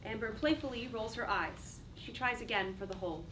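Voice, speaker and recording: A woman's voice: a little high, brisk, fairly bright tone. A woman reading stage directions as a scripted dramatic narrator. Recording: distant mic, faint background noise.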